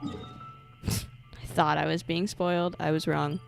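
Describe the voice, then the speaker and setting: A woman's voice: low-pitched, level tone, slow pace, gravelly. A woman giving casual, playful commentary on a game livestream, joking and giggly. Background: game music.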